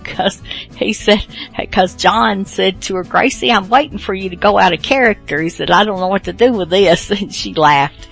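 A woman's voice: medium pitch, moderate pace, creaky, a bit bright, faint music behind. A woman hosting a podcast, giving a casual, rambling introduction in an informal way. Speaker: podcast host.

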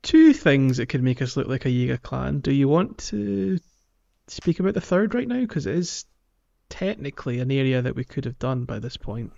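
A man's voice: medium pitch, sing-song, moderate pace, vocal fry, darkish tone, highs cut off. A man hosting a casual podcast, explaining a game walkthrough and guiding the chat with questions. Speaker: podcast host.

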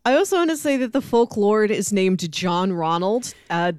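A woman's voice: mid-range voice, expressive, moderate pace, a little mellow, clear, resonant tone, dry recording, studio quality. A woman hosting a podcast in a conversational style. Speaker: podcast host.

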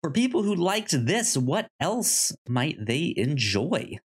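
A man's voice: fairly high pitch, very animated, slow pace, husky. A man co-hosting a casual movie podcast, often hesitant and thinking aloud as he goes. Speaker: podcast co-host.

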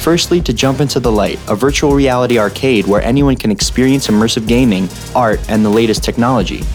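A man hosting a podcast interview, speaking in a relaxed way with many fillers. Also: mid-range voice, fast, soft background music. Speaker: podcast host.

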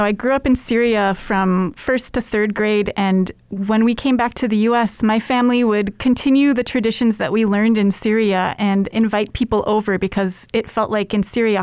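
A woman talking casually as a program manager and podcast guest, in a warm, conversational way. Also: mid-range voice, smooth, dry recording. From United States.

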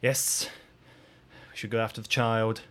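A man playing an earnest, indignant new park ranger in improvised roleplay, speaking in a halting but insistent way. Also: fairly low pitch, level tone, fairly bright tone. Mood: fuming, suspicious. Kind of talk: improvised roleplay, slipping between narration and character.

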